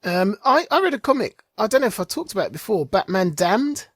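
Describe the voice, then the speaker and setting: Male podcast host, husky, dry close sound, rambling enthusiastic casual chat. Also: sits high, very animated, a little fast, no room sound. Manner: rambling, enthusiastic, searching for words aloud. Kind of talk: casual chat about comics.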